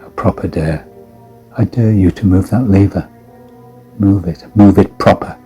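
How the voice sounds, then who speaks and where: A man reads as a cruel older boy in a horror tale, with a low, dark voice. He is mocking and goading, out to shame his victim. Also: a little slow, soft background music. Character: cruel, taunting older boy bully, a ghost child.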